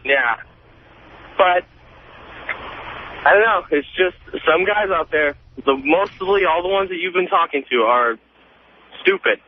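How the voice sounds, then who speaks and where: A man's voice: sits high, lively intonation, measured pace, long pauses. Cocky young American guy on a phone line. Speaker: radio show caller. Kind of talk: casual phone-in chat. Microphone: telephone. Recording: narrow noisy phone line on radio.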